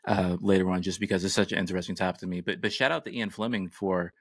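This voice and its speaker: A man's voice: deep voice, steady tone, quick pace, a bit bright, no room sound. A man co-hosting a podcast from a home studio, talking in a casual, conversational way. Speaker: podcast co-host. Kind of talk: casual chat.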